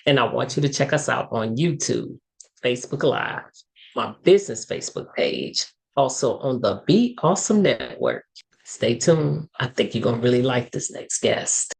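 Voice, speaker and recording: A woman's voice: rumbling low, creaky, slightly rough. A woman hosting a talk show, upbeat and promotional in her welcome. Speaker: host. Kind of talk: podcast hosting.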